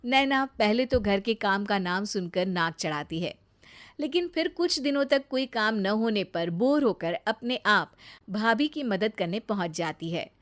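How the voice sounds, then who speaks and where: A woman narrating a scripted animated family story as its storyteller. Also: mid-range voice, unhurried.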